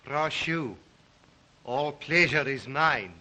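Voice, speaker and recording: An actor playing a courtly, sinister aristocratic Cossack count, with a Russian accent and a formal, ingratiating manner in an old-fashioned drama. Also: male voice, on the high side, expressive, takes it slowly. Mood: courtly, hospitable.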